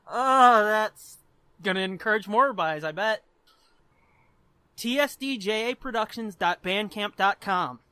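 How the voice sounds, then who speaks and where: A man's voice: high voice, takes it slowly, bright, forceful tone, clear, resonant tone, no room sound. A man co-hosting a podcast in casual, profane, rambling chat. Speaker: podcast co-host.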